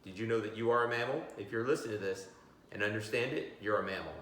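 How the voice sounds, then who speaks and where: A man's voice: on the low side, fast, a bit bright, a little hiss or hum. A middle-aged man hosting a casual children's read-aloud, chatting to his young listeners with asides. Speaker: read-aloud host, teacher. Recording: very echoey, roomy sound.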